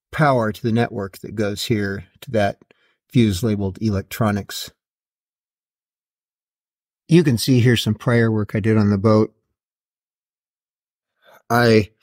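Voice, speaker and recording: A man's voice: fairly low pitch, darkish tone. Matter-of-fact man explaining a DIY install. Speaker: host of a DIY boat install video. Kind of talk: hands-on how-to narration.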